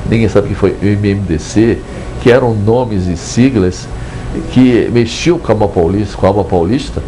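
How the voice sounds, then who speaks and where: An older man from Brazil speaks in a lowish, mellow voice. He talks in a measured, reflective way, often restarting his phrases. A faint hum of noise sits under the recording. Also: low-quality recording. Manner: measured, digressive, frequent hesitant restarts. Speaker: book author. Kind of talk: reflective interview monologue.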